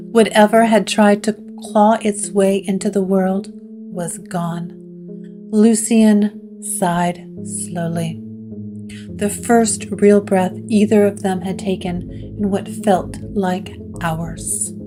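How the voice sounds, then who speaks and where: A woman narrating a gothic audio drama from a script, in a deliberate storytelling manner. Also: mid-range voice. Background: music.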